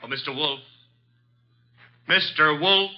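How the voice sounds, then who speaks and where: An actor playing a wry, long-suffering detective's assistant in a 1950s radio drama, needling and narrating. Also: male voice, a little high, takes it slowly. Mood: pleading, needling. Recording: dull vintage radio recording.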